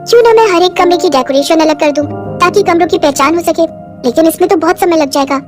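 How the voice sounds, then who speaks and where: Timid foreign bride, young and naive, played by a woman; very high voice, broken Hindi, storybook drama over music. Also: a little fast, darkish tone. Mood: anxious. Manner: broken Hindi with muddled verb genders. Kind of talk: acted dialogue in a moral story. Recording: music behind the voice.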